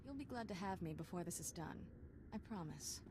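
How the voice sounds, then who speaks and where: An actress voicing a sarcastic, quick-witted young female Jedi companion in video game dialogue. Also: lowish voice, lively intonation, noisy background, lightly acted. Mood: wry.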